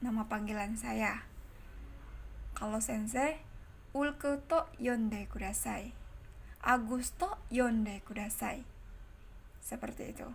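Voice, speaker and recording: A woman teaching a language lesson online. She repeats each example sentence slowly, explains it step by step and keeps turning to her viewers as friends. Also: on the high side, steady tone, vocal fry, slight background noise.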